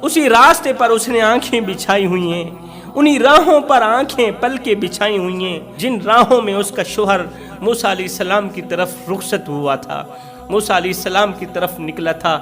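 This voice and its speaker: High-pitched male preacher telling an emotional story. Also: normal speed, fairly bright tone, soft background music. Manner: dramatic, repetitive phrasing for emphasis.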